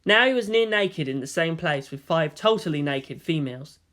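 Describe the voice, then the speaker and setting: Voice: medium pitch, lively intonation, moderate pace, a bit bright. A narrator giving a scripted, dramatic reading of anime fan fiction. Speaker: audiobook narrator.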